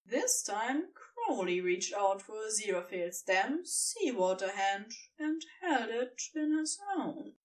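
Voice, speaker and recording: A woman narrating fiction from a script in an expressive storytelling style. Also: mid-range voice, takes it slowly, few pauses, far from the mic.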